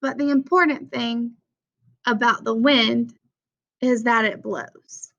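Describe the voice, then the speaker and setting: A young adult woman reading a children's picture book aloud with simple, deliberate phrasing for young listeners. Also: fairly high pitch, steady tone, vocal fry, fairly bright tone. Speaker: read-aloud presenter for children. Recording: dull, lo-fi sound.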